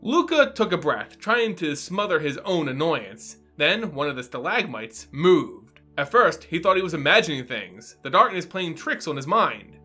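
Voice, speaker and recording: A man delivers dramatic story narration and explanation as a host. His voice sits fairly high and moves with great animation. He sounds theatrical and teacherly, with music playing behind him. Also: sing-song, normal speed, few pauses, sharp tone, breathy edge. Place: small home room, indoors.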